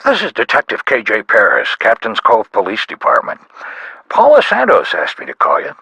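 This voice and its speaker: An actor playing a middle-aged, gruff small-town police detective in a video game, gravelly and husky, with a wry, folksy, clipped manner. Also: male voice, fairly low pitch, huge pitch swings, moderate pace, sharp tone, no echo, heavily acted, low-quality recording. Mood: wry, businesslike. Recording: band-limited dry phone-style sound.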